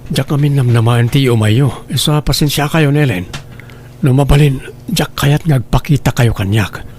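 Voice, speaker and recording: Man acting evasive married dad, Ilocano accent, medium pitch, uneasy radio drama delivery, faint background noise. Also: normal speed, a little mellow, natural voice. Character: evasive, uneasy married father brushing off secret family.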